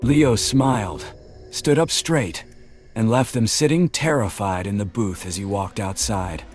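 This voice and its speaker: A man reads a mystery audiobook in an even, measured way. His voice sits on the low side, and soft music plays faintly behind him. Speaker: audiobook narrator. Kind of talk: scripted narration.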